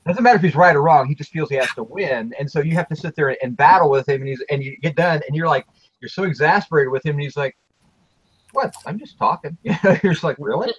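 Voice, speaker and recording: A man with a slightly husky voice talks casually with friends, circling back and restarting his sentences. The sound is a bit dull and lo-fi. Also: a little high, quick pace, no room sound. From Midwest. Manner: rambling, self-interrupting, plain-spoken. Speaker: show host. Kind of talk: casual group chat.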